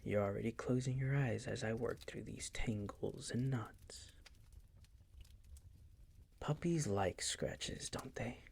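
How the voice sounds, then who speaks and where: A man playing a possessive, condescending vampire master in an intimate roleplay audio, teasing in a breathy, gravelly, often whispered voice. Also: on the low side, a little mellow, exaggerated voice. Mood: soothing, condescending. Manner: slow, teasing, condescending purr.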